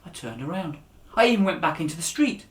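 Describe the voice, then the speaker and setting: A man reading a children's story aloud as its author, with a dramatic, expressive delivery that rises and drops to a hush. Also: on the high side, fast talker. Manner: dramatic, with raised voices for dialogue and hushed endings. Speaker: author reading his own children's book. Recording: echoey room.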